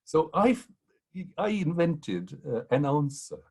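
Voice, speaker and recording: A man's voice: fairly high pitch, very animated, takes it slowly, a little mellow, slightly rough. A man, a historian on a panel, telling rambling anecdotes full of ums and restarts. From Pakistan. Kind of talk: anecdotal storytelling in a panel talk.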